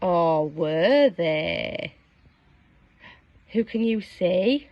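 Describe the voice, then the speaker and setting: A woman reading a storybook aloud to young children, dramatic and expressive, engaging her listeners with questions. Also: fairly low pitch, extremely slow, no echo, dull audio. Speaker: storybook reader.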